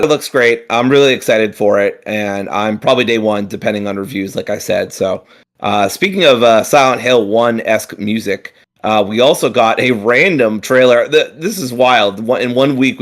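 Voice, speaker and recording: A man co-hosting a gaming podcast, chatting casually and rambling with frequent fillers, restarts and casual swearing. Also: fairly low pitch. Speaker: podcast co-host. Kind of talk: casual chat.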